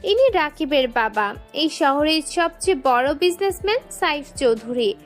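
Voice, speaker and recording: A woman's voice: high-pitched, expressive, measured pace, soft background music. A woman reading scripted story narration as the narrator of an audio drama.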